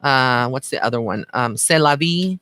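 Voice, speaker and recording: A man's voice: mid-range voice, expressive, moderate pace, pushed and bright, clear, resonant tone. A man working as a psychic medium, giving card readings in a casual, chatty livestream style with informal endearments for his listeners. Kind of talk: casual livestream chat with viewers.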